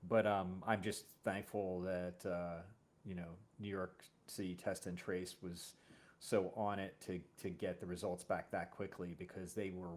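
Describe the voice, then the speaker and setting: A man hosting a casual interview, speaking in a halting way and often rephrasing mid-sentence. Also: low voice, flat delivery, a little mellow. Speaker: host.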